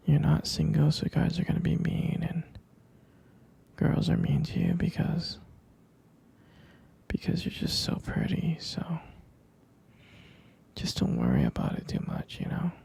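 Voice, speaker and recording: A sleepy young boyfriend, played by a man murmurs tender reassurance to his sweetheart in an ASMR roleplay. His voice is a mellow, slightly high whisper with lots of soft swings in pitch, hesitant and husky. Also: huge pitch swings, unhurried, pauses often, full character voice. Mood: reassuring.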